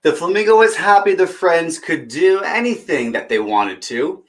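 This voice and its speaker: Male children's storyteller, fairly high voice, encouraging, chatty read-aloud style that speaks straight to little kids. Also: lively intonation, normal speed. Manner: encouraging, talks directly to young listeners. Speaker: children's story time reader.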